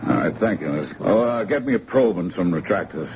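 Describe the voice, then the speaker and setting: A brisk, cocky surgeon. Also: male voice, deep voice, big pitch swings. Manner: brisk commanding orders, wry. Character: brisk, cocky male hospital doctor. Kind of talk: 1950s radio drama acting. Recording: muffled old radio recording with hiss.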